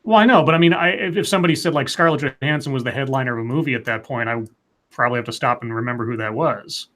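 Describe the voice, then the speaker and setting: A man's voice: medium pitch, racing, fairly bright tone. A man as a guest on a casual live panel chat, reasoning aloud with hesitant restarts. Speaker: podcast guest.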